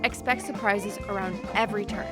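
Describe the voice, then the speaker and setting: A woman's voice: mid-range voice, steady tone, a little fast. Woman narrating a promo over prominent music. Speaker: TV presenter. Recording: prominent music bed behind voice.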